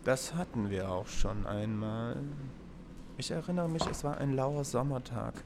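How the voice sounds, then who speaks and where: A formal male lecturer, acted by a man with a probing, declamatory way of talking. He sounds like part of an experimental radio play, recorded with clear background noise. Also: medium pitch, measured pace, natural voice. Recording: noisy amateur recording.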